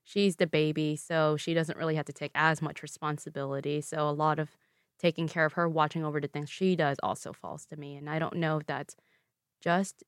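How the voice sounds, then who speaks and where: A woman in her twenties, raised in the American South with Vietnamese as her first language, explaining her family story calmly and steadily in an interview. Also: low voice, a little fast, clear, resonant tone, no room sound, clean studio sound. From Louisville, Kentucky, USA. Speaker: interview guest. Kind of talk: conversational interview.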